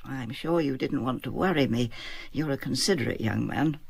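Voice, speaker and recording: An actress playing a shrewd, caring older woman in a radio drama who questions a young man in a measured, gently firm way. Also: very deep voice, gravelly, breathy edge, no echo, exaggerated voice. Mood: probing.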